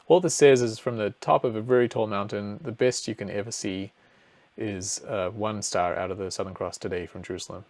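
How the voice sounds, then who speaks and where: A man's voice: on the low side, a little mellow, dry recording. New man explaining over dry audio. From New Zealand. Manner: casual, explanatory, thinking aloud with fillers. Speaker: presenter. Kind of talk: screen-share astronomy explainer.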